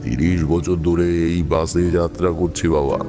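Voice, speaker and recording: Very deep old man's ghost, acted by a male narrator, eerie calm, ominous, dragging pace, horror story, music underneath. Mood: grave, ominous. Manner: slow, ominous delivery. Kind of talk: horror audio story character.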